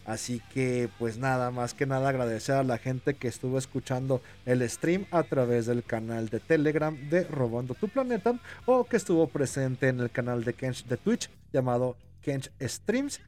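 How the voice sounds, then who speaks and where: A man with a Mexican Spanish accent hosting a casual podcast chat, excitable and laughing, swearing freely. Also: mid-range voice, moderate pace, few pauses. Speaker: podcast host.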